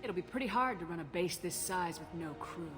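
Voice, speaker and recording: An actress playing a fearless military commander in a video game, speaking firmly and tersely with confidence. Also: female voice, on the low side, lively intonation, fairly quick, lightly acted. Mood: steely resolve. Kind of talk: video game cutscene acting. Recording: music behind the voice.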